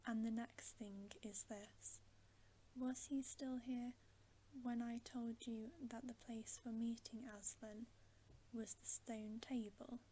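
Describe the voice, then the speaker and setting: A woman's voice: a little high, flat delivery, a little hiss or hum, rough recording. Woman whispering as a grave, wary male storybook beaver. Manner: hushed, whispered, deliberate warnings. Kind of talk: whispered ASMR bedtime story reading.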